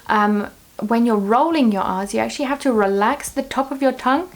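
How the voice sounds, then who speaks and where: Chatty woman with a highish voice. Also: fairly quick. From Russia, raised in England from age seven. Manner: chatty and explanatory, with frequent ums and restarts. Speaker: parenting vlogger. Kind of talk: casual talking-to-camera vlog.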